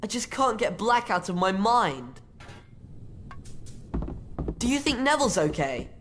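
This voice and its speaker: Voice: medium pitch, sing-song, measured pace, a bit bright. An actor playing an uneasy young pupil at a wizard school in a video game. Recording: noisy background with clicks.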